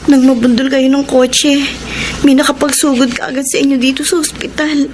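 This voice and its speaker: An actress playing a relieved, dutiful young daughter in a Filipino radio drama. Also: female voice, high-pitched, level tone, moderate pace, noisy background, natural voice. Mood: relieved, grateful.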